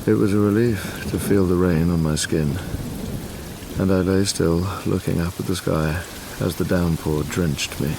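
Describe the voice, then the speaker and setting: An actor playing a dying, tormented man who gravely narrates his past in a formal audio drama. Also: low-pitched, steady tone, unhurried, heavy background noise. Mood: haunted.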